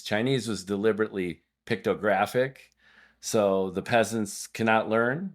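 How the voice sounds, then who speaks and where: A man hosting a podcast interview, a native Hungarian speaker who talks in wordy, digressive stretches. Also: low-pitched. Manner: discursive, wordy, prone to digressions. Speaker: podcast host.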